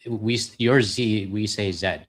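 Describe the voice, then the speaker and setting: A middle-aged man with a Canadian accent and a somewhat low voice. He talks in a loose, rambling way, full of fillers and self-deprecating asides, as he co-hosts a live stream. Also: steady tone, unhurried. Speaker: co-host reading donations. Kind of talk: casual live-stream chat.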